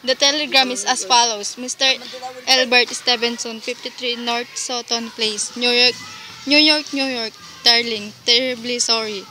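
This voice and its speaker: Woman as bright, formal telegram clerk. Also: a little high, fairly even intonation, moderate pace, a little hiss or hum, poor audio. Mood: formal, detached. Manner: formal, recited, businesslike. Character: brisk, formal female telegram clerk reading a message. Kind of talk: radio play acting.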